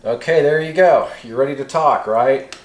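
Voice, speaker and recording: A man's voice: mid-range voice, moderate pace. A man hosting a hands-on how-to video in casual, folksy, rambling talk. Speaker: host of a hobby how-to video. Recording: echoey room.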